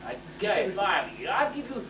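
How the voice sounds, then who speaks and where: A woman's voice: mid-range voice, sing-song, lightly acted. A woman playing a menacing, haughty pantomime villain who drawls out threats, on an amateur stage. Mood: threatening. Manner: haughty, taunting, drawn-out threats. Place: amateur stage show in a hall, audience behind. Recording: echoey hall from far off, noisy audience, hum.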